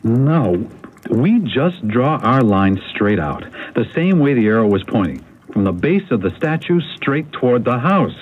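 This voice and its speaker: An actor playing an eager, confident husband hunting treasure in a 1970s radio mystery. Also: male voice, mid-range voice, big pitch swings, a little slow. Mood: excited, explaining. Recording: tinny, noisy old AM radio broadcast.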